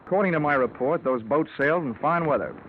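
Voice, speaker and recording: An actor playing a wry, wisecracking freelance insurance investigator in old radio detective drama style, dry and clipped. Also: male voice, fairly high pitch, lively intonation, fast, noisy background, lightly acted. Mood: dry, probing. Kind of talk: 1940s-50s radio detective drama acting and narration. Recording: tinny vintage radio recording, music behind.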